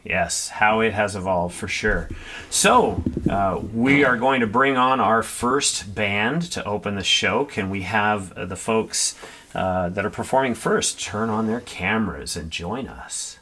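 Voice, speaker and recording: A man's voice: lowish voice, unhurried, sharp tone, slight background noise. A man with an American accent co-hosting a live online music show in an upbeat introductory style. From Seattle, United States.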